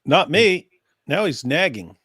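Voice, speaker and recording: A man's voice: a little high, sing-song, unhurried, a bit bright, slightly rough, no echo, clean studio sound. A man joining a livestream as a co-guest, tossing in short sarcastic quips.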